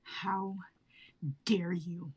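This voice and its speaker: A woman's voice: lowish voice, level tone, drawn-out words, husky, heavily acted. Woman reading a foul-mouthed diva singer. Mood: angry. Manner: swears a lot, swings from shouting to whispering. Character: self-absorbed young woman singer, volatile. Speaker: actor in a live script reading. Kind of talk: amateur dramatic reading.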